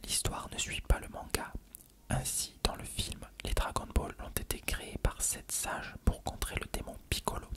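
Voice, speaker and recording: An ASMR narrator reads an encyclopedia-style article entirely in an unvoiced whisper.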